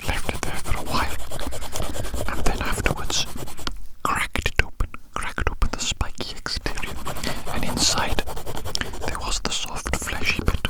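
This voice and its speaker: A man's voice: a little slow, poor audio. A man speaks entirely in a whisper, very close to the microphone. He rambles as an ASMR host giving personal attention, often repeating a phrase and asking the listener teasing questions.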